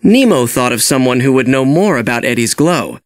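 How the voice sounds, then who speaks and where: A man reading a children's story as an expressive, scripted read-along narration. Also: mid-range voice, moderate pace, no echo, clean studio sound. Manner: animated storytelling.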